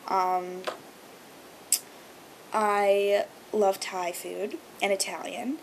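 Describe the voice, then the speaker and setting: A young woman whose first language is Hebrew talks to her webcam in a gushing, rambling way, full of fillers. Her voice sits in the middle range. A faint low rumble sits under the recording. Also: flat delivery, drawn-out words, gravelly, poor audio. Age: young adult. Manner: chatty, gushing, lots of fillers. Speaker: vlogger. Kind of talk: casual chat to camera.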